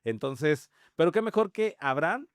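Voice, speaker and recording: A man's voice: on the high side, lively intonation, moderate pace, clean tone, dry recording. A man hosts a podcast, opening the show and introducing his guest. He has a mid-range voice recorded with a dry, close sound. His manner is easygoing and joking. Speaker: podcast host. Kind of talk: friendly interview hosting.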